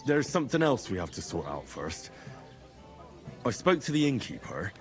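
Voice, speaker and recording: An actor playing a patient, practical young commoner in a video game, down-to-earth and a little wry. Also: male voice, mid-range voice, very animated, moderate pace, soft background music, close to an everyday voice. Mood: reasonable. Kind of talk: dramatic video game dialogue.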